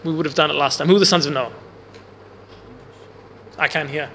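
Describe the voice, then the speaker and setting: A man with a loud, bright, slightly high voice teaches a Bible class in a big room, with no microphone. He explains casually and keeps putting questions to the group. The recording carries a long hall reverb and a faint hiss underneath. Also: steady tone, a little fast. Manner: loud voice, speaks without a mic. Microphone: no mic on the speaker, recorder in the room.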